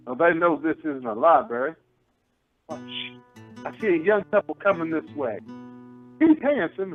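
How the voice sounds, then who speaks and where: A genial, wry storyteller of yesteryear acted by a man narrates a radio play in a folksy, theatrical way. His fairly high voice swings widely, over a thin phone-like line with music behind.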